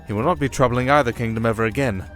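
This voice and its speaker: A man playing a fatherly archbishop in an audio drama, speaking formally with measured, gentle irony. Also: medium pitch, faint music behind, lightly acted. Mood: grave, assured. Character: genial, wry, fatherly archbishop. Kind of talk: fantasy audio drama acting.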